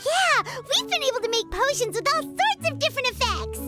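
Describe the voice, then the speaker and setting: A woman voicing a tiny, excitable childlike fairy companion in a video game cutscene, exclamatory and easily flustered. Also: very high voice, brisk. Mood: eager. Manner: speaks of self in third person, exclamatory. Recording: background music under the voice.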